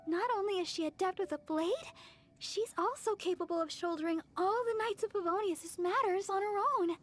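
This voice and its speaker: A woman voicing a gentle, modest young church healer girl in a video game, earnest and soft-spoken. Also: very high-pitched, moderate pace. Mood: modest, reflective.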